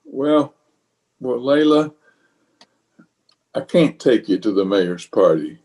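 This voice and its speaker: A man's voice: mid-range voice, expressive, slow pace, a little mellow. A man playing a pompous, flustered middle-aged bachelor uncle in an old-time radio comedy, exasperated and hemming and hawing. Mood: nervous hedging.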